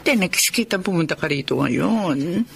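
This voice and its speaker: An actress playing a middle-aged widow, coy and defiant, in a Filipino radio drama. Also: female voice, on the low side, lively intonation, moderate pace, gravelly, a little hiss or hum. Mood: coy, bold. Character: brazen widowed mother with a secret lover.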